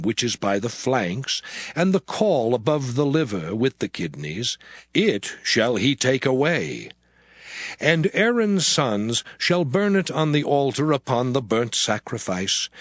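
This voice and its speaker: A man narrating a dramatized audio Bible in a solemn, formal manner with measured phrasing. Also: medium pitch, no echo, clean studio sound. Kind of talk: dramatized scripture reading, scripted narration. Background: background music.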